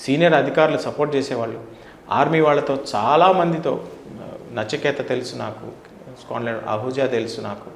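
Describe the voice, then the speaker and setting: A man's voice: medium pitch, a little fast, vocal fry, slight background noise. A middle-aged man from India, speaking emphatically as an interview guest, with rhetorical questions and repeated phrases. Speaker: interview guest, former air force officer. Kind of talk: opinionated interview talk. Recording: roomy, echoing indoor sound.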